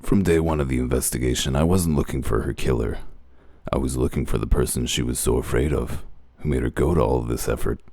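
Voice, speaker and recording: A man playing a gruff, world-weary detective in a comic dub, with a gravelly voice and a methodical, matter-of-fact way of explaining. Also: very deep voice, brisk, mellow, no echo, clean studio sound, full character voice. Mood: matter-of-fact, shrewd.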